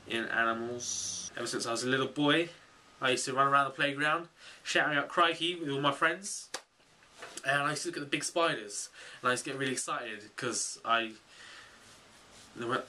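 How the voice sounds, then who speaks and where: A man in his twenties chatting casually to camera in a small bedroom, animated and full of fillers and self-corrections. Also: mid-range voice, distant mic, slight background noise. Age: 20s. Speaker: vlogger, zoology student. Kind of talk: casual vlog chat to camera.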